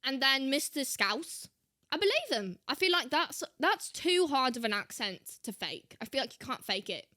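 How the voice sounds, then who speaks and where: A woman's voice: sits high, sing-song, normal speed, bright, forceful tone, no room sound, studio quality. A woman doing an exaggerated put-on British accent, giving theatrical, playful reaction commentary and repeating phrases for emphasis. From United States. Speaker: host of a reaction show.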